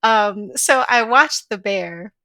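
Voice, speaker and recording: A young adult woman with a slight Chicago accent, hosting a casual podcast chat and telling stories with lively voiced asides. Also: medium pitch, slow, no room sound. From Chicago area. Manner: chatty, animated storytelling with voiced asides. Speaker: podcast host. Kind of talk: casual conversation.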